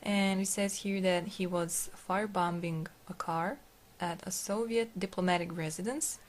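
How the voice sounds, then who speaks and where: A woman from Serbia with a Serbian accent, reading a text aloud and translating it, halting as she searches for words. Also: fairly low pitch, fairly even intonation, a little hiss or hum. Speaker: video narrator.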